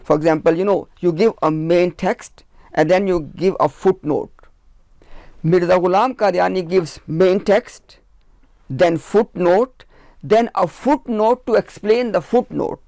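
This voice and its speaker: A man's voice: high-pitched, unhurried, creaky, warm tone, no echo. A man, a researcher, explaining his points at length in a discussion, methodical and firm, counting them off one by one. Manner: emphatic, firm. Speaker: researcher of religious literature.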